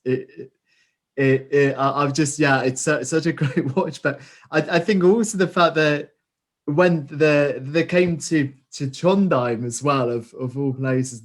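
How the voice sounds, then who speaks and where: A man in his forties hosting a video-call interview, enthusiastic, laughing often and restarting his questions. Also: medium pitch. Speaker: interviewer host.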